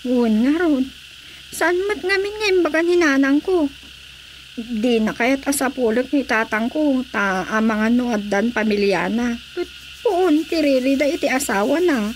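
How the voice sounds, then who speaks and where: An anxious young village woman asks for help in a high voice. It is radio drama dialogue with faint noise behind it. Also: expressive, normal speed, poor audio. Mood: worried.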